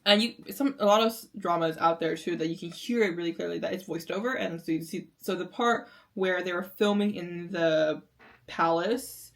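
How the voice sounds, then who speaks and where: A woman's voice: fairly low pitch, moderate pace. An American woman reacting to a series in casual commentary, rambling with many filler words. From United States.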